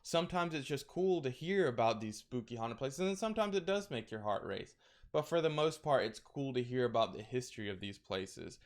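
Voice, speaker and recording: A man's voice: mid-range voice, animated, brisk. A man with a crackly voice chatting casually, rambling through recommendations with lots of fillers and restarts. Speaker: streamer recommending horror content.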